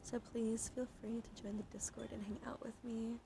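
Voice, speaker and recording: Creaky-voiced woman chatting on a noisy livestream. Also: on the high side, level tone, normal speed. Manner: informal, slangy, chatty. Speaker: livestream host, ASMR streamer. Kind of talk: casual livestream chat to viewers.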